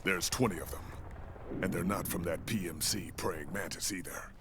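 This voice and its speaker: Deep-voiced serious male soldier. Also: normal speed, background noise. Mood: urgent, grave. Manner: clipped military delivery. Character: serious, businesslike male soldier reporting to commander. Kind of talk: dramatic video game voice acting.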